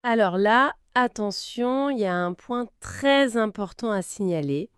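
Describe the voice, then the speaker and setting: Slow, lively-pitched woman teaching French. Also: dry recording, studio quality. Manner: slow, clearly articulated, modelling phrases for repetition. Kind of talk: slow scripted language lesson.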